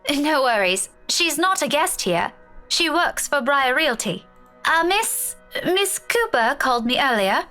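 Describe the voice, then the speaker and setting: A woman's voice: high-pitched, big pitch swings, unhurried, bright, forceful tone, faint music behind, heavily acted. An actress playing an anxious, polite young woman searching for her friend in a visual novel. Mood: polite, friendly.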